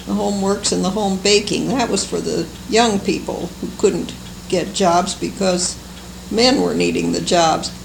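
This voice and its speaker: An older American woman recalling her life for an oral history, speaking in a halting, unhurried, fond way. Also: fairly low pitch, vocal fry. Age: older, seventies or above. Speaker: interviewee, longtime local resident. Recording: noisy amateur oral history recording.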